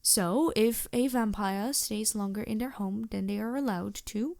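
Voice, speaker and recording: A woman voicing a polite, anxious and gentle vampire with formal, earnest phrasing in a fantasy audio roleplay. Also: medium pitch, unhurried, vocal fry. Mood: cautioning. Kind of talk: fantasy ASMR roleplay acting.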